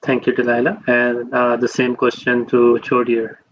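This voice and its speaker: A man's voice: medium pitch, monotone, moderate pace. A man hosting a panel, briefly and politely handing the floor to each guest over a remote call. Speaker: moderator, host. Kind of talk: moderating a panel. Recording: dull lo-fi audio with faint noise.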